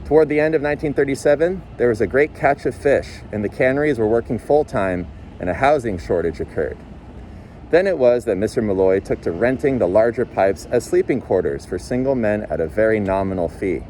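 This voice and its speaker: A man's voice: mid-range voice, lively intonation, brisk, mellow. A man reading a novel aloud in audiobook style, as a volunteer narrator. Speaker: volunteer reader. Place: outdoors on a city street. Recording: faint outdoor street and traffic noise. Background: passing vehicles, faint street ambience.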